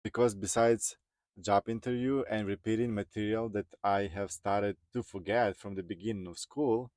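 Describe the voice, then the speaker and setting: A man's voice: fairly low pitch, fairly even intonation, moderate pace, darkish tone, no room sound, studio quality. A man in his thirties from Ukraine with a Ukrainian accent, telling his personal story to viewers as a vlogger. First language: Ukrainian or other non-English. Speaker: vlogger, truck driver.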